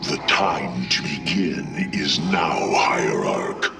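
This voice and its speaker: A proud, ancient alien warrior speaks for a video game in a low, gravelly voice. He is grave and archaic, unhurried yet swooping in pitch. Loud music plays around his slightly distant voice. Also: sing-song, heavily acted. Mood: urgent, commanding. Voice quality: deep, gravelly. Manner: solemn, formal, archaic phrasing. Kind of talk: dramatic video game character acting.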